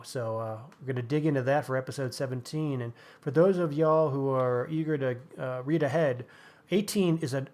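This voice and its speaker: A man's voice: mid-range voice, a little mellow. Man co-hosting a casual book chat. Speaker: podcast co-host.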